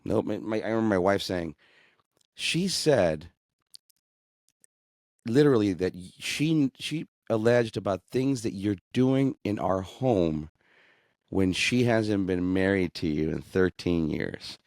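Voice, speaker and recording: A middle-aged man with a very low, deep voice, speaking earnestly and with frequent restarts. His tone rises when he gets indignant. The recording is dry and close. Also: animated, a little slow, a little mellow, no echo. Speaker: guest sharing his divorce story. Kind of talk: candid conversational interview.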